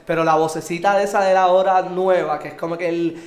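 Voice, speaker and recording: Puerto rican dude, high voice, animated and swearing, chatting about songs in an echoey room. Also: male voice, fairly quick. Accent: Puerto Rican Spanish. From Puerto Rico. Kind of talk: casual chat reviewing music.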